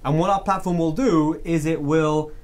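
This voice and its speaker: A man's voice: fairly high pitch, moderate pace. A man, the founder of a company, explaining at length how his business works in a casual talk show studio interview. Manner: steady, explanatory, talks in long run-on lists.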